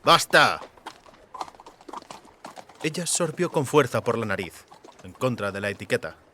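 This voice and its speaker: A man's voice: mid-range voice, expressive, moderate pace, a little hiss or hum. A man reading scripted fantasy fiction as an audiobook narrator.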